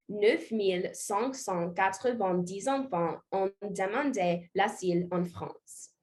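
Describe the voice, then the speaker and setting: A woman's voice: medium pitch, darkish tone, natural voice. A woman playing a male government education official, speaking formally and reading statistics. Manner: slow, formal, reads figures.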